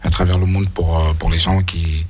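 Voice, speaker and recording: Low husky man from Angola. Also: flat delivery, normal speed, darkish tone, faint music behind. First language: Portuguese. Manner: hesitant, many fillers. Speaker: guest singer. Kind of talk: radio interview answers.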